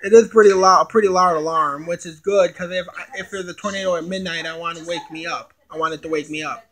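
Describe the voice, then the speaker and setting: Low-pitched woman with a flat, halting delivery. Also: background noise. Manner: halting, thinking aloud. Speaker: amateur product reviewer. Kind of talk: casual unboxing demonstration, reading menu items aloud.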